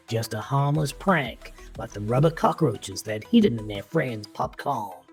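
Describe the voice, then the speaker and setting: Slow, dramatic horror narrator. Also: medium pitch. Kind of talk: scripted horror story narration. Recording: music bed under narration.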